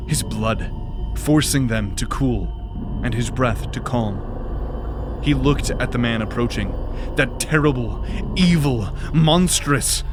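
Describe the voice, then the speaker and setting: A man reading scripted fantasy narration in a measured, dramatic way for an audio drama. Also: mid-range voice.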